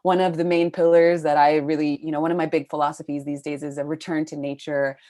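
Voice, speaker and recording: A woman from California hosts a live online session. Her voice is very low for a woman, and she talks quickly. She keeps the flow brisk and fills the gaps with fillers. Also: flat delivery, mellow. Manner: brisk, filler-heavy hosting. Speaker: webinar host. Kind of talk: live webinar hosting.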